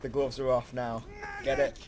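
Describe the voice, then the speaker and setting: A young man doing casual, jokey game commentary with a friend, excitable and prone to exclaiming and repeating phrases. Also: medium pitch, moderate pace, a crowd in the background. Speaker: gaming YouTuber. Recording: clear background noise from game.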